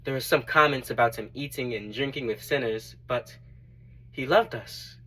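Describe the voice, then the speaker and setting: A man acts out a devoted male disciple, once a tax collector, giving his testimony as a stage monologue. His voice sits at a middle pitch. He is earnest and reflective, speaking deliberately and leaning hard on key phrases. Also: fairly bright tone, natural voice, low-quality recording. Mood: awed. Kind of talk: dramatic monologue, first-person testimony.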